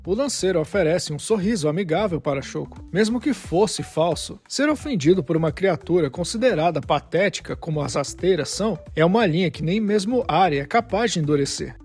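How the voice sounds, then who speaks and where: A man's voice: high voice, lively intonation, fairly quick, hardly any pauses, a little mellow, faint music behind. A man narrating scripted dramatic fiction as the narrator of an audio drama.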